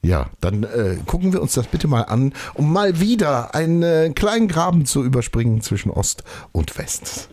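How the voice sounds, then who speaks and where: A man anchoring a regional TV news show, moderating and interviewing a guest in the studio with a lively, emphatic delivery. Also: on the high side, moderate pace, a little hiss or hum.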